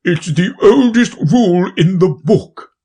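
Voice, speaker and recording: A man voicing a fussy, blustering old king presiding over a courtroom, with loud, pompous pronouncements. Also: high-pitched, animated, takes it slowly, breathy edge, voice pushed up, full character voice.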